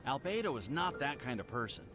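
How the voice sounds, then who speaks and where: A man's voice: medium pitch, fairly quick, over music, lightly acted. A man voicing an amiable, nervous young alchemist in a video game, sheepish and easily flustered. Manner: sheepish, stammering, animated.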